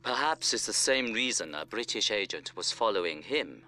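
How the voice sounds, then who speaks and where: An actor playing a guarded middle-aged intelligence colonel in a video game, with a formal, measured delivery. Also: male voice, on the low side, animated, bright, forceful tone, faint music behind. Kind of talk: dramatic video game dialogue.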